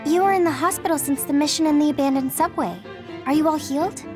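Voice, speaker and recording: A woman's voice: sits high, fast, over music, lightly acted. A woman voicing a sweet, caring young female soldier in an anime-style video game. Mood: matter-of-fact.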